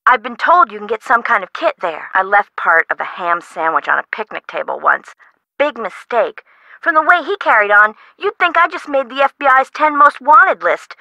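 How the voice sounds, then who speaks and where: An actress playing an excitable, worried woman homeowner in a video game, American and chatty in an emphatic way. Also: fairly high pitch, normal speed, creaky, no room sound, full character voice, rough recording. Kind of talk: scripted game dialogue.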